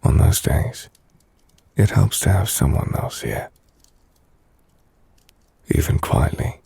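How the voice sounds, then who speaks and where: A man playing a brooding, gentle, melancholy vampire-blooded castle lord in an intimate ASMR audio roleplay, hushed and husky, speaking slowly in measured, formal phrases. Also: sits low, big pitch swings, full character voice. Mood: brooding, confiding. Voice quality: gravelly, husky, breathy.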